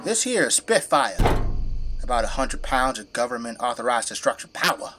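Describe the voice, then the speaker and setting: A man's voice: medium pitch, sing-song, moderate pace, over music, natural voice. An actor playing a folksy, boastful, tipsy middle-aged father who fights zombies with a flamethrower in an audio drama. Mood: proud, showing off. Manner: folksy, colloquial, easygoing bragging.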